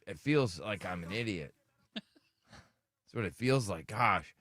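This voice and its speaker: A man's voice: lowish voice, expressive, takes it slowly, fairly bright tone. A man hosting a radio show, chatting casually and complaining about himself with self-deprecating humor; his microphone sounds thin and tinny. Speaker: radio host. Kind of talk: casual morning radio banter. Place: radio studio. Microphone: studio radio microphone.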